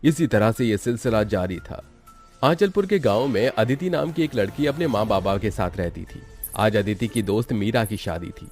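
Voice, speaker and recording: A man's voice: lowish voice, lively intonation, normal speed, a little mellow. A man narrating a scripted horror story for a cartoon, as the storyteller. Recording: music bed under the voice.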